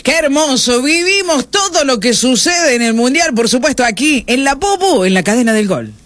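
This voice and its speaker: A woman's voice: high voice, expressive, normal speed, no room sound. A woman with an Argentine accent hosting live sports radio in an informal, conversational way. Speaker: radio host.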